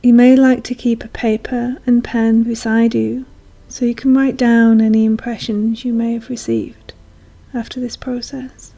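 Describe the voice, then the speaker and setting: A woman leads a guided meditation in a soothing, hushed manner, leaving long pauses. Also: a little high, vocal fry. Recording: soft music behind voice. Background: calm meditation music.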